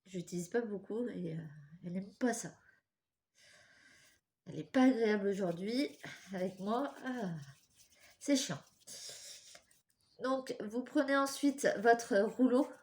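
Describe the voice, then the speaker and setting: A woman's voice: medium pitch, a little slow, pauses often, darkish tone. A young woman with a cold and a stuffy nose, hosting a casual step-by-step craft tutorial and chatting apologetically while she works with her hands.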